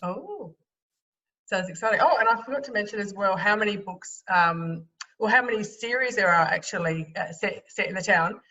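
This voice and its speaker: A woman moderating a panel in a casual, unscripted way, halting often with lots of ums. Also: mid-range voice, animated, pushed and bright. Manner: hesitant, halting delivery with many ums. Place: remote video call. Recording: dull audio, distant from mic.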